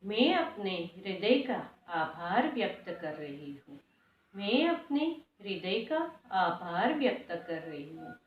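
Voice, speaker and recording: A woman leading a guided meditation, speaking prayers and affirmations slowly and deliberately in short, repeated phrases. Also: mid-range voice, sing-song, slight background noise. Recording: echoey room, distant mic, dull sound.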